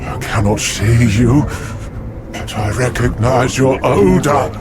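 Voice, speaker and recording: An actor playing a covetous, fretful old temple elder in a video game cutscene, creaky and theatrical. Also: male voice, mid-range voice, natural voice. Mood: suspicious, demanding. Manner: theatrical, drawn-out, agitated. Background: cinematic background music.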